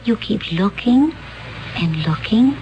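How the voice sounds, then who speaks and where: An actress playing a calm, mysterious woman from another planet, speaking in a solemn, measured way in a 1970s radio drama. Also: a little high, lo-fi sound.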